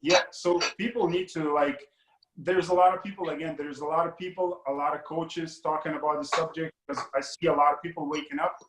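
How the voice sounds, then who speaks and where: A man's voice: a little high, fairly even intonation, brisk, background noise. Rambling Lithuanian-born man, echoey room. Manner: rambling, many fillers and restarts. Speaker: guest money mindset coach. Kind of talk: casual interview talk.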